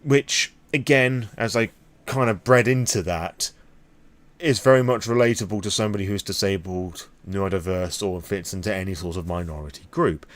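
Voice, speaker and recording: A man's voice: fairly low pitch, moderate pace. A British man rambling candidly and off the cuff in a vlog, opinionated and swearing freely. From Britain. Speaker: vlogger on tabletop roleplaying lore.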